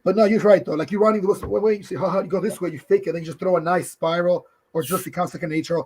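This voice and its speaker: A hoarse man who is losing his voice, a podcast guest in casual chat who rambles and says "you know" a lot. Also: sits high, steady tone, very fast, a little mellow.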